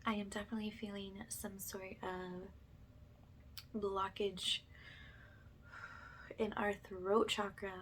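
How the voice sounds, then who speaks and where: A woman speaking softly and breathily, often slipping into a whisper, as she gives slow, soothing, halting guidance in an ASMR energy healing style. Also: mid-range voice, flat delivery, a little hiss or hum.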